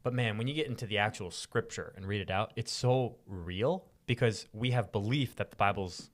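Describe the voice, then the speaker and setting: Young male co-host, lowish pitch, casual and enthusiastic, heavy on slang. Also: moderate pace, a little hiss or hum. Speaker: podcast co-host. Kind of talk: casual chat about Bible stories.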